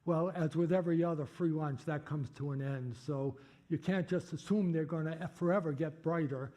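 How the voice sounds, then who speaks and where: An older man with a Boston accent, answering audience questions at a lecture in a halting way with many fillers. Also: fairly high pitch, warm tone. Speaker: guest speaker.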